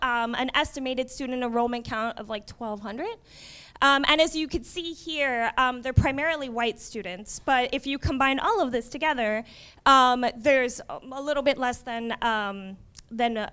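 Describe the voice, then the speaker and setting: High, smooth-voiced woman giving a talk. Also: bright, forceful tone. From Miami, USA. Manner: conversational, with wry asides. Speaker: community college librarian. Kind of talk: conference presentation.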